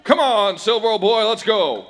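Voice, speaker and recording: A man's voice: high-pitched, expressive, moderate pace, fairly bright tone, slight background noise. An actor playing a commanding, resolute masked cowboy lawman in 1930s radio western style, speaking in urgent, emphatic orders. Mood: firm, insistent. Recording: reverberant hall, live stage performance.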